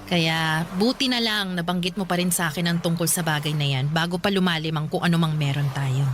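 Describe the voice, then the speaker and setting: A woman acting in a Filipino radio drama as a guarded, frank single woman in her thirties, speaking conversationally with expressive, hesitant pauses. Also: on the low side, a little hiss or hum, lightly acted. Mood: hesitant, firm.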